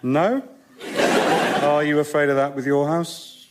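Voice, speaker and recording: A man playing a blunt, practical little pig in a radio comedy sketch, matter-of-fact and deadpan. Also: fairly high pitch, measured pace, very noisy, natural voice.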